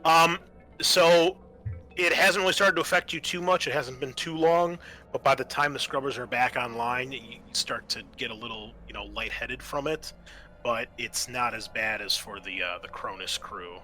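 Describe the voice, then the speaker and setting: Male game master, crisp and forward voice, informal, thinking out loud, faint music underneath. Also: medium pitch, moderate pace. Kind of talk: running a tabletop roleplaying game. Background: quiet game soundtrack music.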